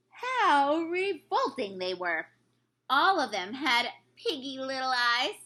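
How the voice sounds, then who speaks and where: A woman narrating a children's book aloud in an expressive storytelling style. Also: high voice. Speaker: story reader.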